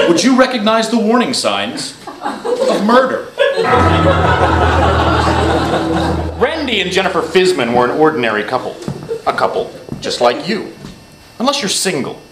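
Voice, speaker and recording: A bombastic true-crime news anchor in a stage parody. He is portentous and overdramatic, his high-pitched voice swinging wildly up and down, caught in a noisy, echoey theatre. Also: huge pitch swings, heavily acted. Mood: ominous, dramatic. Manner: overdramatic, portentous, dramatic pauses. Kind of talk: live sketch comedy parody of TV news. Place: small theatre stage before an audience.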